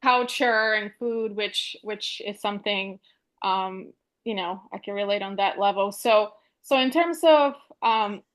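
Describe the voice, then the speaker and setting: A woman's voice: medium pitch, measured pace. A woman from Bulgaria interviewing a filmmaker in a friendly, chatty way with many "like"s. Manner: friendly, lots of "like" and "you know". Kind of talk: casual interview talk.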